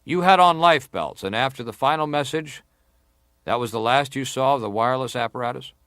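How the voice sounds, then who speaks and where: A persistent senator , played by a male actor, questions a witness in a dramatized hearing. His delivery is formal and deliberate at a medium pitch, recorded close and dry. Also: fairly bright tone, clear, resonant tone, no room sound, lightly acted. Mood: probing, formal. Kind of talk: dramatized reading of hearing testimony.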